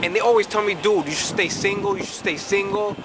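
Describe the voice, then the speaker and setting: A man's voice: high-pitched, fast, heavy background noise. A young man giving blunt, profane advice on a vlog, reading out viewer letters while tipsy. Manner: brash, profane, slangy. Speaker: advice vlogger. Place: outdoors in a city at night.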